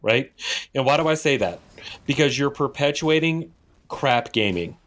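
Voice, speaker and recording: A man with a medium-pitched voice talks casually as a podcast co-host. He is opinionated and leans hard on his points, often saying them again for stress. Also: animated, moderate pace, fairly bright tone.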